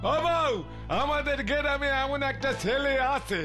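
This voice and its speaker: A man's voice: extremely high pitch, a little slow, over music. A man voicing a simple, cheeky village servant with a rural Bengali dialect in a cartoon. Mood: eager boasting. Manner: naive, folksy, muttering complaints. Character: simple, cheeky rustic male servant sidekick, muttering back. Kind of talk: animated cartoon dialogue.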